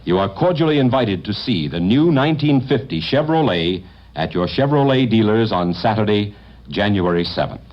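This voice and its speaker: A man announcing for old-time radio, his lowish voice swinging up and down with salesman's emphasis. The sound is dull and lo-fi, like a 1950 broadcast. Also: animated, moderate pace. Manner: polished, emphatic commercial delivery. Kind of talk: formal sponsor announcement.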